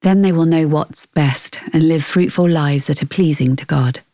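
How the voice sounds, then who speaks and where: Low, mellow woman reading. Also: normal speed, no room sound, clean studio sound. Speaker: podcast host and devotional reader. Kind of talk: scripted devotional narration.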